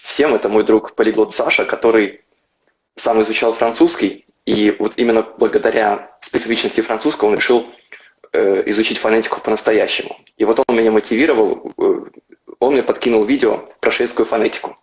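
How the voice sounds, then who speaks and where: A man's voice: lowish voice, steady tone, a little fast, low-quality recording. A young man chatting casually as co-host of a live discussion about languages. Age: young adult. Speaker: polyglot co-host. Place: indoor room with plain walls, video call.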